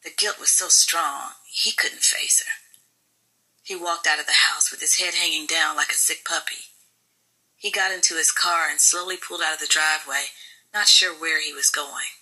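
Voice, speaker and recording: A woman's voice: low voice, steady tone, moderate pace, sharp tone, slightly rough, poor audio. A woman reading scripted fiction as an audiobook narrator.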